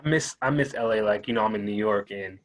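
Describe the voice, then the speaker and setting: A man's voice: lowish voice, fast. Upbeat man hosting an interview show.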